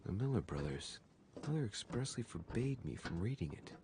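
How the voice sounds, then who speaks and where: A man's voice: lowish voice, sing-song, moderate pace. A wistful young nobleman in a game. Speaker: game character. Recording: game audio under heavy noise.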